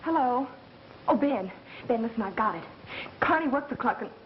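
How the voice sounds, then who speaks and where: A woman's voice: on the high side, expressive, normal speed, close to an everyday voice. An actress playing a plucky, wisecracking young American woman sleuthing as a sidekick, in 1930s film style. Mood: flustered. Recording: muffled, noisy vintage film soundtrack.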